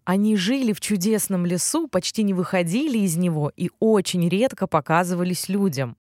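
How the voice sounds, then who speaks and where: A woman narrating a children's fairy tale in an expressive storytelling style. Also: fairly low pitch, normal speed, no room sound, studio quality.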